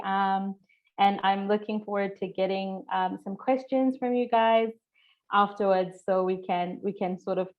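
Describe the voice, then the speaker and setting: Chatty woman on a muffled video call. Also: mid-range voice. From Zimbabwe. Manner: upbeat, chatty, filler-heavy. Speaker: programs manager presenting. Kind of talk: webinar presentation. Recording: dull lo-fi video call audio.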